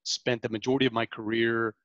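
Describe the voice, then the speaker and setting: A man in his forties from Venezuela speaks as a podcast guest, pausing often on ums and restarting his sentences. The sound is dull and a bit lo-fi, with a dry, close feel. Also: mid-range voice, flat delivery, a bit bright, no room sound. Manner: halting, many ums and restarts. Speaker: sales director, podcast guest. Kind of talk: interview conversation.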